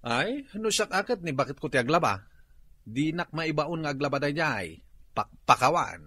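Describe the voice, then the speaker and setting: A blustering, henpecked village husband with an Ilocano accent. His voice is high for a man and rough, jumping about as he boasts and stammers in comedy radio drama style. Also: sing-song, moderate pace, sharp tone, heavily acted. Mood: boastful.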